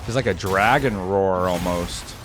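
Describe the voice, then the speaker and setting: A man's voice: low-pitched, unhurried. Enthusiastic man reacting, slangy. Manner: slangy, enthusiastic, thinking aloud. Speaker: reaction video host. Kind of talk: casual gaming reaction commentary. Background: game music.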